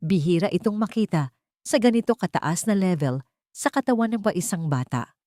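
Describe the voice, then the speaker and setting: A woman voicing a serious hospital doctor who explains gravely and formally in a dramatized story. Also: lowish voice, expressive, normal speed, warm tone, no echo, clean studio sound, natural voice. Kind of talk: audio drama storytelling in Tagalog.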